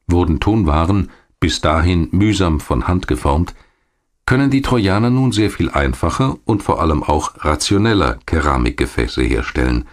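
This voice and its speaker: A man reading scripted documentary narration in a measured, clearly articulated storytelling manner. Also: deep voice. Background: music behind the voice.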